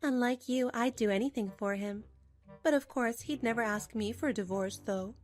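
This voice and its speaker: A woman's voice: fairly high pitch, normal speed, pitched below the performer's own voice, natural voice. Woman putting on a smug, taunting mistress. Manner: taunting, mock-sweet. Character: smug, sugary young mistress, the husband's old flame. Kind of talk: dramatic manga dub dialogue.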